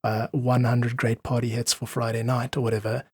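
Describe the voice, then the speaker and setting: Husky-voiced South African man talking emphatically. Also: mid-range voice, flat delivery, normal speed, dry recording. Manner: emphatic, persuasive, informal. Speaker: musician, podcast guest. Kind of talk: conversational interview, opinionated monologue.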